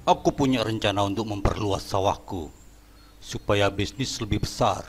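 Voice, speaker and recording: A man voicing a greedy, arrogant village head in a horror cartoon, speaking in a low, bossy, scornful way. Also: normal speed, slight background noise, close to an everyday voice. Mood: scheming.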